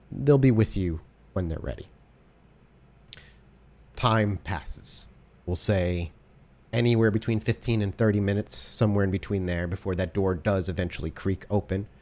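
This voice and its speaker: A man's voice: lowish voice, darkish tone. A man working as game master, improvising descriptive narration for a tabletop horror role-playing game.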